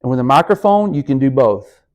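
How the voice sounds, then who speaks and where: A man's voice: medium pitch, lively intonation, brisk. A man lecturing his class as a speech instructor, talking in an encouraging, conversational way straight to the students. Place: room with a lectern.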